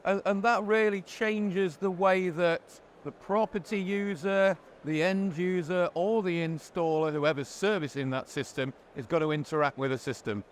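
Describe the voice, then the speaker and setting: A man with a fairly high-pitched voice hosts a product interview. He explains things in a measured, orderly manner. A light wash of background noise from a trade show floor sits behind him. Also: steady tone. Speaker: presenter and interviewer. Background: faint ambient noise.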